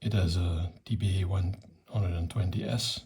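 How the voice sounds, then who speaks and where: A middle-aged or older man giving an unscripted technical demonstration in a matter-of-fact, hesitant way, with a husky, gravelly voice. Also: deep voice, steady tone, moderate pace, a little mellow. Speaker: electronics hobbyist presenter.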